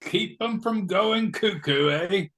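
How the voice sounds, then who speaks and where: A man playing a blustery, oblivious knighted gentleman in a comic stage play, sounding puzzled. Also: high voice, takes it slowly. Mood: breezy, oblivious. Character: bewildered, blustery English-style knighted gentleman, oblivious husband.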